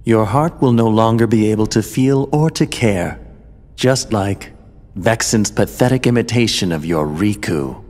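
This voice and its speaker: A man's voice: on the low side, a little slow, a little mellow, close to an everyday voice. An actor playing an arrogant young male villain who talks down to his foes with contempt, in a video game cutscene. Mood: taunting. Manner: contemptuous, theatrical, commanding. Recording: reverberant game audio with music.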